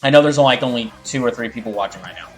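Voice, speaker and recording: A man talking casually to his livestream chat as a game streamer, halting and rambling as he corrects himself. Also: mid-range voice, soft background music.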